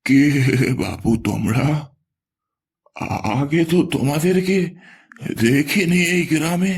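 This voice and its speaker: A man voices a poor old village man in a horror cartoon. He speaks very slowly and haltingly, in a husky, slightly high aged voice, with a wary manner. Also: expressive, full character voice. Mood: wary, questioning. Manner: halting, drawn-out. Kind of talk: Bengali horror cartoon voice acting.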